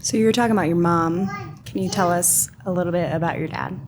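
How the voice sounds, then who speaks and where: A woman interviewer asking short questions softly from off-mic. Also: lowish voice, fast, noisy background.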